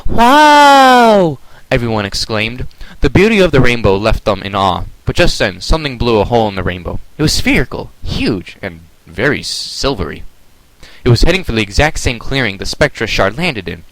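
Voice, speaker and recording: A man reading his own fan story aloud as a soft, hushed dramatic narrator. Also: fairly low pitch, sing-song, a little fast, dry recording, low-quality recording.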